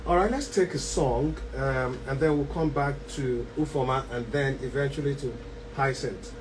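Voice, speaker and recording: A man's voice: medium pitch, normal speed. A man hosting a radio book show, talking casually with frequent ums and repeated words. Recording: distant mic with steady background noise.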